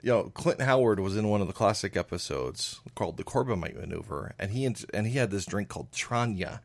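A man's voice: on the low side, lively intonation, brisk. A man co-hosting a live radio show, chatty with wry asides.

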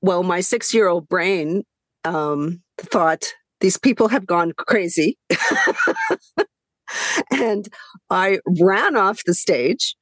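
A woman's voice: on the low side, lively intonation, slow, long pauses, no room sound. A middle-aged woman whose was Hungarian, telling stories as a podcast guest, chatty, laughing often, with many fillers. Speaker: guest author. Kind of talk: conversational storytelling.

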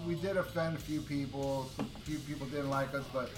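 A man co-hosting a podcast, talking in a loud, powerful voice in a brash, profane, boastful way. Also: medium pitch, fairly even intonation, fairly quick, roomy sound, music in the background, low-quality recording. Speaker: podcast co-host. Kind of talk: casual podcast chat.

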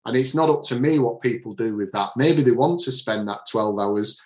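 Man, Manchester accent, author being interviewed, earnest with frequent restarts, middle pitch, roomy sound. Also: moderate pace, a little mellow. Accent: Manchester English. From Manchester area, England. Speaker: author and leadership coach. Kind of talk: podcast interview answers.

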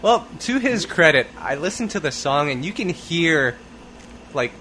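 A man's voice: a little high, sing-song, measured pace, pushed and bright, a little hiss or hum, low-quality recording. An American man hosting a podcast in casual chat, easygoing and teasing. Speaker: podcast host.

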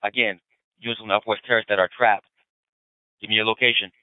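A man's voice: lowish voice, fairly even intonation, quick pace, clean tone, dry recording, narrow, tinny audio. A man working as a police radio dispatcher, repeating emergency orders in clipped procedural phrases over the radio channel. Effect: police radio transmission.

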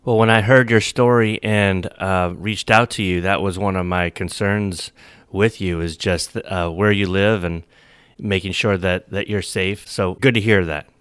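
A man's voice: sits low, steady tone, unhurried, a bit bright, clear, resonant tone, dry recording, clean studio sound. A man hosting a podcast, polite and welcoming as he questions his guest. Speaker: podcast host. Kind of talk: podcast interview.

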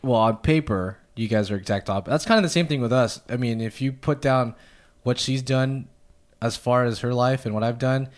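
A man's voice: mid-range voice, moderate pace. A man hosting a casual conversation in everyday, informal speech.

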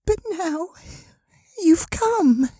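A woman voicing a small, anxious five-year-old boy in a story, timid and halting. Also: very high voice, lively intonation, dark tone, dry recording, studio quality, heavily acted. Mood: timid, tearful. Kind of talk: audiobook character dialogue.

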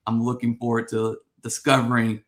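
A man hosts a relaxed interview show, his voice sitting in an ordinary middle range. He asks long, wandering questions, padding them with ums and restarts. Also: medium pitch, moderate pace. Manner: rambling, friendly questions with many fillers. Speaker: podcast host. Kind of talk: casual interview.

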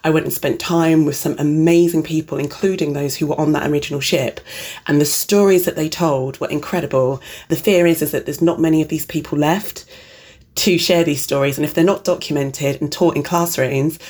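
A woman's voice: sits low, fairly even intonation, fairly quick, a little mellow. A middle-aged woman broadcaster from the UK answering interview questions, reflective and enthusiastic as she tells stories.

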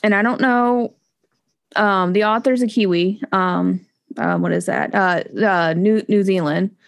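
Gravelly-voiced woman chatting about books. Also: medium pitch, fairly even intonation, unhurried. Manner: chatty, rambling, many fillers and restarts. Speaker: podcast co-host. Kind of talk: casual chat about books.

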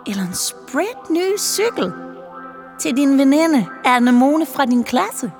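Woman putting on a weird pushy pink-wig lady, high and wheedling, big reverb. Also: sing-song, a little mellow, faint music behind. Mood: coaxing. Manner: coaxing, salesy, wheedling.

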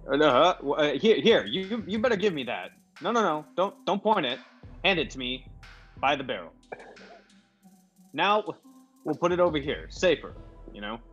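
Slang-slinging screen gangster for a radio comedy, played by a man. Affable yet wary, husky, animated, given to stammers. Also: on the high side, moderate pace, pauses often, exaggerated voice. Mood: alarmed. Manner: slangy, clipped, hesitant stammers. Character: tough-talking movie gangster star, affable but wary. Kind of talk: old-time radio comedy play acting. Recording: dull lo-fi audio with music behind.

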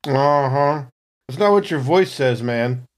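A man's voice: medium pitch, expressive, unhurried, lightly acted. A man playing a laid-back, grumbling space Marine in a sci-fi tabletop roleplay, casual and slangy. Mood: laid-back, teasing. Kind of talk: improvised in-character tabletop roleplay.

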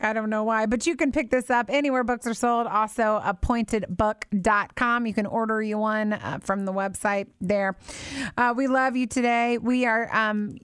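A woman's voice: a little high, fairly bright tone, smooth, dry recording, clean studio sound. A woman hosting a radio talk show, chatting casually and rambling with lots of fillers and restarts. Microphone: studio microphone.

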